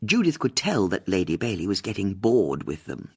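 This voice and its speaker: A woman narrates an audiobook mystery. Her voice is husky and sits at a moderate pitch. She reads scripted prose with lively, wide-ranging intonation. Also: normal speed.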